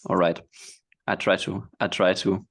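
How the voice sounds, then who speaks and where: A man hosting a podcast, asking his guest questions in a relaxed, casual way with frequent laughs. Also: low voice, measured pace. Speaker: podcast host. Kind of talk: casual interview chat.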